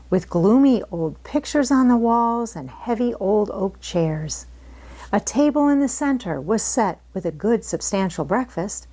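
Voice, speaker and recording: Expressive woman reading a storybook aloud. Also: medium pitch, slightly rough, poor audio. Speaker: audiobook reader. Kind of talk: scripted storybook narration, expressive read-aloud.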